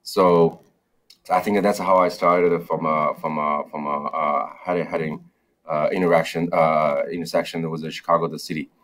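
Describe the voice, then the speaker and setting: Low-voiced guy on a video call, lots of uh and restarts. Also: little pitch movement, normal speed, a bit bright, a little hiss or hum. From China. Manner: hesitant, many fillers and restarts. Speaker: startup founder, guest. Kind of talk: interview over video call. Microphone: video call, webcam.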